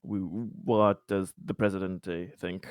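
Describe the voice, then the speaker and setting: Deep smooth-voiced man at a game table. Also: fairly even intonation, measured pace, darkish tone, no room sound, studio quality. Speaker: player in a tabletop roleplaying game. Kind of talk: in-character discussion at a game table.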